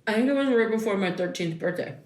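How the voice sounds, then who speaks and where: A woman's voice: medium pitch, animated, quick pace, a little hiss or hum, natural voice. A woman playing a raw, insistent daughter in her thirties in a naturalistic audio drama, speaking in a hesitant, conversational way. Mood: amused. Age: 30s. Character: wounded thirty-three-year-old daughter, insistent and raw.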